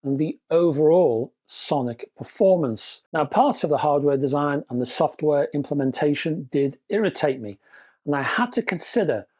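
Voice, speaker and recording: A man presenting a scripted product review, speaking in measured, deliberate phrases with chatty, whimsical turns. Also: a little high, expressive, a little mellow. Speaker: reviewer and presenter of an audio gear channel.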